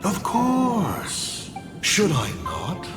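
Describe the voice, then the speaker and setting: An actor voicing a theatrical, mocking male villain in a video game, with a taunting, drawn-out delivery. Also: high-pitched, huge pitch swings, full character voice. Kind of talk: dramatic video game voice acting. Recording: loud music under the voice. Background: music.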